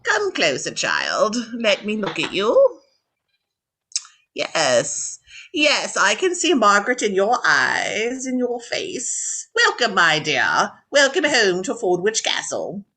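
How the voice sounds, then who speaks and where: A woman's voice: medium pitch, huge pitch swings, takes it slowly, sharp tone, exaggerated voice. A woman playing an imperious, ailing elderly baroness in a radio drama, speaking in a grand, commanding, formal way. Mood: proud, welcoming.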